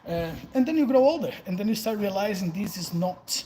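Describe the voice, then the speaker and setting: A man's voice: high voice, animated, fairly quick, dark tone, a little hiss or hum. A man in his forties from Brazil with a Brazilian Portuguese accent, chatting casually on a livestream, telling stories and answering viewer comments, recorded on a phone set on the floor of a large warehouse. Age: 40s. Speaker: vlogger. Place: large open warehouse, indoors. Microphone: phone camera set on the floor.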